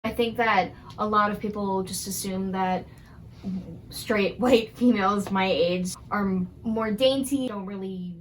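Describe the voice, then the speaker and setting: A woman of twenty answering interview questions in a quick, sarcastic, joking way. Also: medium pitch, slight background noise, low-quality recording.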